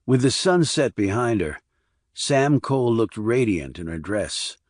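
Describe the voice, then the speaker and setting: A man's voice: medium pitch, sing-song, measured pace, no echo, clean studio sound. A man reading a crime thriller audiobook as scripted narration.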